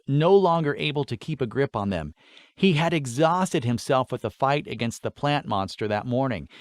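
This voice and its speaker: Casting: male storyteller for fantasy audiobook narration, medium-pitched voice, very dry recording. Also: no room sound.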